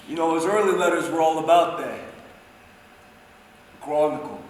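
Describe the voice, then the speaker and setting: An actor playing an anguished, foul-mouthed younger man in a dramatic stage monologue, speaking emphatically in street talk with dramatic pauses. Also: a little high. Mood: somber, reflective. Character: anguished, foul-mouthed younger man grieving over his soldier brother. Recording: very echoey hall, distant mic, faint noise.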